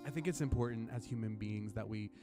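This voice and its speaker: A man's voice: mid-range voice, lively intonation, normal speed, over music. A young man from Sweden with an American accent, a singer speaking as a guest in a radio studio, earnest and open with many ums. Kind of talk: casual spoken talk between songs.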